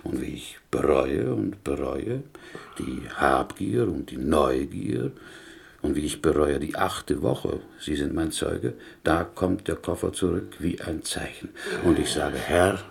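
In a radio drama, a gentle, wily butcher from Poland. His voice is low and gravelly. He muses and rambles piously, turning his sentences around in a Yiddish-style word order. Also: lively intonation, takes it slowly, heavily acted. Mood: pious, reflective. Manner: inverted Yiddish-style syntax, musing, rambling storyteller. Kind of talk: German radio play acting.

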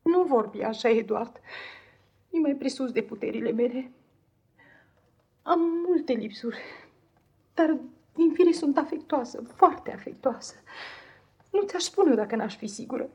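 An actress playing a meek, anxious, affectionate young wife in a radio play, pleading and hesitant in an apologetic way. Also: female voice, sits high, animated, pauses often, a little mellow, breathy edge, heavily acted. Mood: distressed pleading. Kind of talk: 1950s radio theatre acting.

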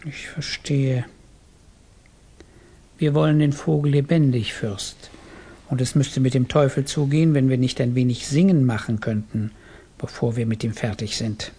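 An actor playing a courteous but coldly menacing Tsarist police chief in a German radio play, formal, probing and gravelly. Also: male voice, mid-range voice, fairly even intonation, measured pace, warm tone. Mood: quietly menacing.

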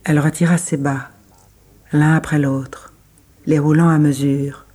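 A man reading literary prose as a scripted narration, with measured, deliberate phrasing and pauses between phrases. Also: a little high, level tone, a little mellow.

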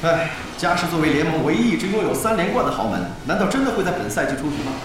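A man playing an excitable esports commentator calling a live match in an audio drama. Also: on the high side, fast talker, background noise. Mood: dismayed. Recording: very echoey arena-like sound.

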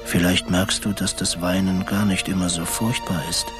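A man's voice: sits low, little pitch movement, moderate pace, natural voice. An actor playing a wistful male budgie in a children's radio play, tender and reflective, sometimes slipping toward a whisper. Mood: gentle, consoling. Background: music.

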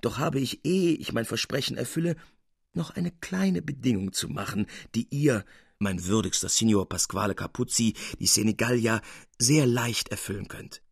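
A man voicing a bold, mischievous male painter who flatters with exaggerated, honeyed courtesy in a storybook reading. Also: mid-range voice, moderate pace, vocal fry, slightly rough, no echo, clean studio sound. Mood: mock flattering.

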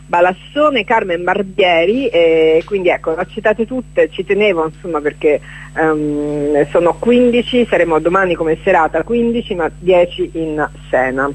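A woman's voice: lowish voice, lively intonation, moderate pace, rough recording. A woman giving a chatty radio interview as a guest, speaking in broken, hesitant phrases and repeating words for emphasis. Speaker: radio guest, performer presenting a stage event.

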